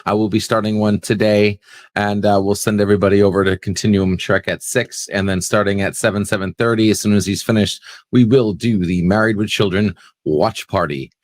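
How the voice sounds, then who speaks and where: A man with a fairly low voice joins a livestream panel. He jokes easily and talks in a loose, casual way. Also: steady tone, a little fast. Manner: jokey, laughs often. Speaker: panel guest. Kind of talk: casual chat.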